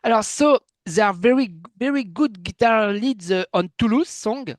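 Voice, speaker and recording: A man whose first language is French, interviewing in halting, enthusiastic English as he searches for words. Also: sits high, no room sound, clean studio sound. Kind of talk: casual interview chat.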